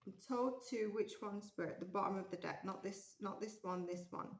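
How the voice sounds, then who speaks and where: A woman's voice: medium pitch, normal speed, slight background noise. A woman giving a casual tarot reading, musing aloud with many ums and restarts, her voice a little strained. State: losing her voice. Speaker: tarot reader. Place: indoors at a table with candle and cards. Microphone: overhead camera, hands only. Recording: roomy echo.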